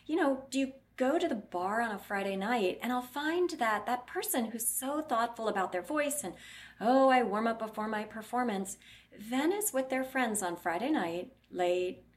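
A woman's voice: on the high side, lively intonation, moderate pace. Blunt, explanatory woman clinician. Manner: blunt, explanatory, acts out patient quotes. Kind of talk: interview answers.

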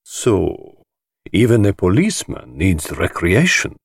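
A man's voice: low-pitched, wildly swooping pitch, slow, breathy edge, no echo, studio quality, full character voice. A man voicing a flamboyant, spiteful foreign society host in an audiobook, with an affected, mockingly purring drawl. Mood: baiting. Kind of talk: audiobook character voice.

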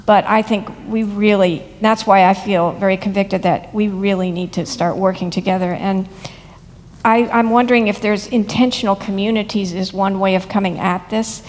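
A woman speaking earnestly and in a measured way on a discussion panel. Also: medium pitch, fairly even intonation, a little mellow. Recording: long hall reverb.

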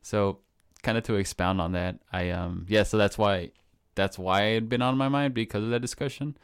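A young man with a low, smooth, resonant voice talks through a podcast episode in an easygoing way. He drops curses without heat and often slips into quoting what he was thinking. His talk is loose and conversational. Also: normal speed. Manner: relaxed, swears casually, quotes his own thoughts aloud. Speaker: podcast co-host. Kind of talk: casual chat.

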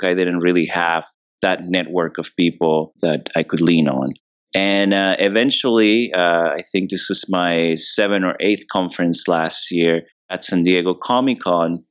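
Man, from Venezuela, very deep voice, smooth, thinks aloud with fillers, dry slightly muffled podcast sound. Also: a bit bright, no room sound. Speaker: comic book writer and guest. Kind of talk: podcast interview answers.

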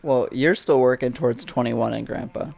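A man's voice: mid-range voice, normal speed, vocal fry, a little mellow. A man co-hosting a casual podcast, asking teasing, needling questions. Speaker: podcast co-host.

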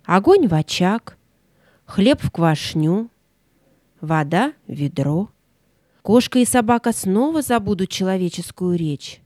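Lady playing an old fairy granny in a kids audio play, bossy but kind, soft mellow tone, dry sound. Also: medium pitch, lively intonation, no echo, close to an everyday voice. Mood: solemn. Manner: instructive, measured commands. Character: commanding old fairy grandmother, stern but caring.

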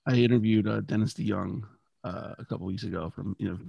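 A man's voice: lowish voice, steady tone, a little fast, vocal fry, dark tone, breathy edge, no room sound, dull audio. A man hosting a podcast interview in a casual, enthusiastic way while he is losing his voice. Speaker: podcast host.

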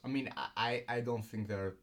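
A man's voice: mid-range voice, normal speed, a bit bright. A young man from Greece, a filmmaker and student guest, talking casually with many ums and restarts. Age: young adult. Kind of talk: casual interview.

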